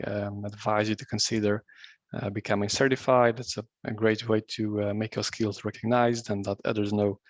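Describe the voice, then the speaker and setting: A man's voice: lowish voice, no room sound. Portuguese-born man presenting over a video call. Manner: friendly, conversational, some restarts. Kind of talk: online meetup presentation.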